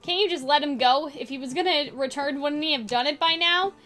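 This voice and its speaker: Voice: sits high, a little fast, sharp tone. A woman voicing an upbeat, adventurous sister who loves traveling, in a visual novel game. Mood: disappointed, pleading.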